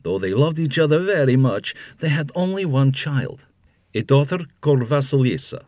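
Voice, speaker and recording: A man's voice: mid-range voice, animated, normal speed, a little mellow, no echo, clean studio sound. A man narrating a scripted fairy tale for children as a storyteller, with wry asides.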